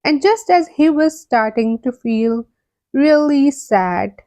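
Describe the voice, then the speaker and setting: Slow-paced woman reading a children's story. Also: sits high, animated, dark tone, dry recording. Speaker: story reader for English learners. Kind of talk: scripted read-aloud children's narration.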